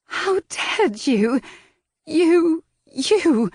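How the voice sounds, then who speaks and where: A woman's voice: medium pitch, lively intonation, moderate pace, a little mellow, no room sound, clean studio sound, pitched above the performer's own voice, natural voice. A woman voicing a proper, indignant young Regency lady who speaks primly. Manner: prim and indignant.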